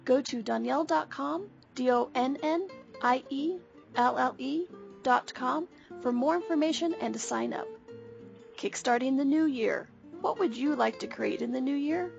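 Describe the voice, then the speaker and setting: High gravelly woman reading an ad. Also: normal speed. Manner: bright, persuasive pitch. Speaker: ad voice, workshop leader. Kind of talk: scripted radio ad. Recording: lo-fi ad with faint guitar.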